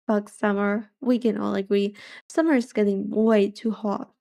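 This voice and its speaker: A young British woman with a soft voice, chatting casually on a livestream with languid, drawling complaints. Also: mid-range voice, steady tone, takes it slowly, vocal fry. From Britain. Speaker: streamer.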